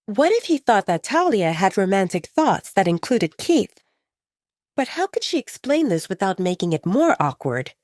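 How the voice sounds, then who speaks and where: A woman's voice: medium pitch, no room sound, clean studio sound. A woman reading scripted romance fiction as a dramatic audiobook narrator.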